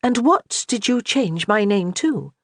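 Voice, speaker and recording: A woman's voice: mid-range voice, sing-song, measured pace, dry recording, clean studio sound, pitched above the performer's own voice. A reader voicing a proud, blunt young noblewoman who speaks firmly and plainly. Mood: wary. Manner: firm and forthright.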